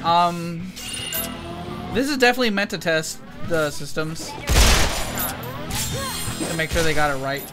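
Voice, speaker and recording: A streamer giving casual live commentary on a game, talking in an excitable, slangy way with plenty of swearing. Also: mid-range voice, measured pace, long pauses. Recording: loud game music behind. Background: video game music.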